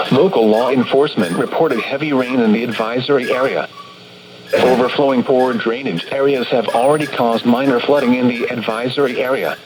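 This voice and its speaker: A man's synthetic, automated voice reading a formal scripted weather forecast bulletin as an announcer over the radio. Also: medium pitch. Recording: noisy weather radio broadcast.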